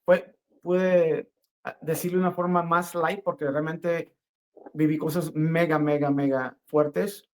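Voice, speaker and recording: A middle-aged man giving an earnest, spontaneous personal religious testimony, speaking haltingly and repeating phrases for emphasis. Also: a little high, flat delivery, a little mellow.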